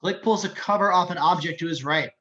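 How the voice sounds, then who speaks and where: A man with a fairly high voice narrates screenplay action at a table read. He reads the scripted description, and the recording is dull and lo-fi. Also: quick pace, a bit bright. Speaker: reader of stage directions. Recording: dull, lo-fi microphone sound.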